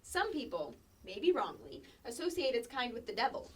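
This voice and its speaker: Woman as a high, husky precocious kindergartner. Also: very animated, normal speed, far from the mic. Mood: knowing, insistent. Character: assertive, precocious five-year-old child classmate. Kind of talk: staged reading of sketch comedy.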